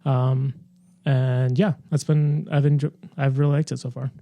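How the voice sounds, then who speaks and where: A man co-hosting a podcast, sharing casual review opinions in a low-key, conversational way. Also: little pitch movement, moderate pace, mellow. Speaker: podcast co-host.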